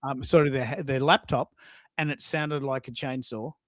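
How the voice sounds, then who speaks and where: A man's voice: mid-range voice, fairly even intonation, normal speed, no echo, clean studio sound. A man hosting a casual live audio chat, opinionated and repeating himself for emphasis. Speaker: live show host.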